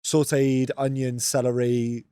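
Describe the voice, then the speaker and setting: A man co-hosting a podcast, telling stories in relaxed, slangy chat that often trails off softly. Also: medium pitch, a little slow, smooth, dry recording. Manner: laid-back, slangy storytelling, often trailing off quietly. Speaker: podcast co-host. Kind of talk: casual chat.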